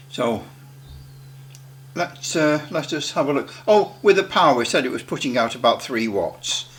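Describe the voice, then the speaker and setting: A man giving a casual demonstration as a hobbyist, thinking aloud haltingly and adding tag questions. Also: mid-range voice, lively intonation. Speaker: radio repair hobbyist demonstrating equipment.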